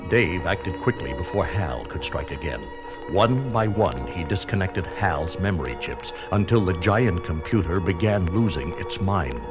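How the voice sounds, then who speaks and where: A man's voice: low voice, expressive, unhurried. A man narrating a children's read-along story in a dramatic, scripted storytelling style. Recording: music under the voice.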